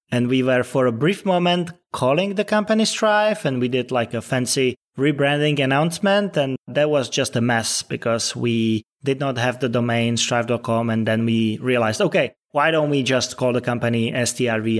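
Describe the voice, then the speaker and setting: A man in his thirties from the Czech Republic talks as a startup's chief executive. He answers interview questions at a steady, earnest pace and explains his points carefully. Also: mid-range voice, moderate pace, hardly any pauses. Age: 30s. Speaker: startup CEO guest.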